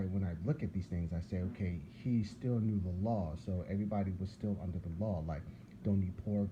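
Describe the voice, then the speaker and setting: A man from whose was Arabic, preaching in a casual, rambling monologue that repeats words and restarts sentences. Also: low voice. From Philadelphia. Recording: dull lo-fi sound with clear background noise.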